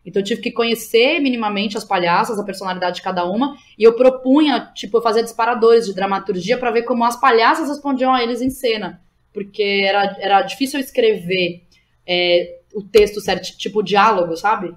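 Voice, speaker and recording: A woman's voice: medium pitch, fairly quick. A woman with a Brazilian Portuguese accent talking casually about her own work as an interview guest, informal and slangy, thinking aloud as she goes. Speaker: guest, playwright.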